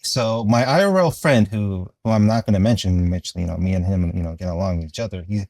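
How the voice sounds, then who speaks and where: A man with a fairly low voice talks through casual commentary, recorded dry and close with little room sound. He rambles hesitantly, working out his thoughts as he goes like an online video commentator. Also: fairly quick, a little mellow, dry recording.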